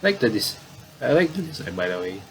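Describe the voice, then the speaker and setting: A man's voice: mid-range voice, very animated, a little fast, a bit bright, a little hiss or hum. A man giving casual let's play commentary and reading game text aloud, stumbling and restarting as he reads. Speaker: gaming commentator.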